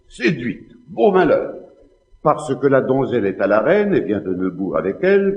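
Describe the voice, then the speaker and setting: A man's voice: mid-range voice, darkish tone. An actor playing a proud, scheming Spanish nobleman in a classical French stage drama, in a theatrical, declamatory manner. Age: middle-aged. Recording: slightly dull, long hall reverb.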